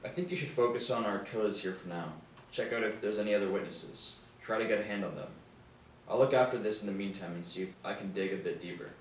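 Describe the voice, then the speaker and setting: A man's voice: on the low side, fast, lightly acted. A man playing a skeptical, curt, authoritative FBI boss at a script table reading. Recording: very echoey room, distant mic, background noise.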